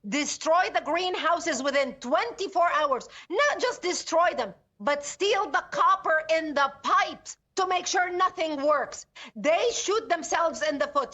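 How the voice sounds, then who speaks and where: A woman from Lebanon, a guest analyst in a news interview, speaking with forceful indignation and emphatic repetition. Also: high voice.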